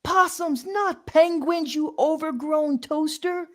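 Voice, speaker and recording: A man voicing a frantic, bossy cartoon schemer who shouts in shrill exasperation. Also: slow pace. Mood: exasperated.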